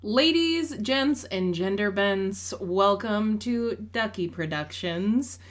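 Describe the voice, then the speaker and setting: A woman's voice: mid-range voice, lively intonation, slow pace. A young woman co-hosting a casual video chat about games, upbeat and playful, laughing often. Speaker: co-host.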